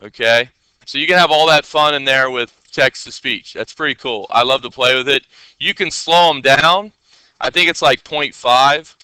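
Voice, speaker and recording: A man's voice: medium pitch, normal speed, sharp tone, smooth, no echo, rough recording. A man teaching coding in a casual screen-share tutorial. He talks in a loose, chatty way with many fillers, and keeps checking in with his listeners.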